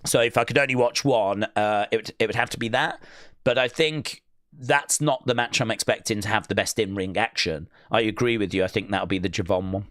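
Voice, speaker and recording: Male streamer, mid-pitched, dry close mic, rambling casual chat with viewers. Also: a little fast, fairly bright tone, no room sound. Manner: rambling, lots of fillers and restarts. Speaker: streamer hosting a wrestling watch-along.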